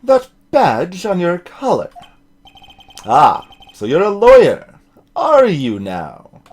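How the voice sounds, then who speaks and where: A man's voice: sits high, huge pitch swings, a little mellow, full character voice. Man putting on a pompous older blustery lawyer. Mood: pompous, demanding. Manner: pompous, throat-clearing, drawn-out emphatic words. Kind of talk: video game character voicing.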